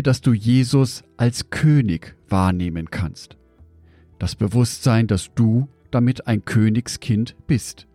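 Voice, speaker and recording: A man giving a scripted devotional in a measured, reflective way, speaking directly to the listener. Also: mid-range voice, warm tone, no echo.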